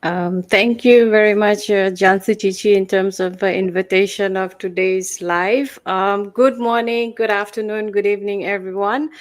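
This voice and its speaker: A woman's voice: medium pitch, steady tone, moderate pace, few pauses. A woman from Fiji giving a prepared promotional presentation as a panelist, formal and sounding read from notes. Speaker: panelist, online business owner.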